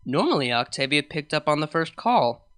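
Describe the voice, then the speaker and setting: A woman reading a scripted fan fiction story as narrator, in an expressive storytelling manner. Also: deep voice, moderate pace, clean tone, faint music behind.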